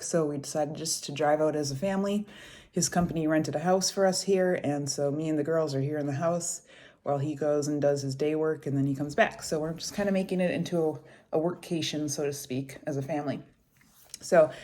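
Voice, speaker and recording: Young woman, congested, low mellow voice, casual rambling vlog chat at a kitchen table. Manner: rambling, conversational, hushed while kids nap. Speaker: cross-stitch vlogger. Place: kitchen table of a rental house.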